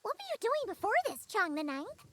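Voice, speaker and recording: A woman voicing a tiny, childlike, perky and inquisitive fairy companion in a video game, with a squeaky voice. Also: wildly swooping pitch, a little fast, a bit bright, heavily acted. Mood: curious. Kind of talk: English video game voice acting. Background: music.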